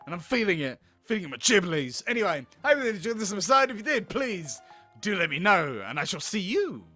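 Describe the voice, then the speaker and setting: A man hosting a let's play, talking in a playful, animated and sweary way as he reads a visual novel aloud. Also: sits high, quick pace, soft background music. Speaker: gaming video host.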